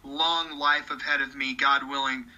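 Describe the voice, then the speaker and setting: Earnest, bright-voiced man in his thirties. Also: medium pitch, level tone, a little slow, dull audio. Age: 30s. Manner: earnest, repeats words when starting sentences. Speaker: podcast guest. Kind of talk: casual conversation.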